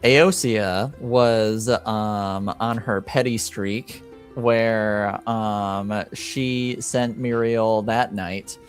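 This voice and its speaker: A man hosting a tabletop roleplaying stream as game master, speaking casually and haltingly with long pauses. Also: lowish voice, steady tone, soft background music.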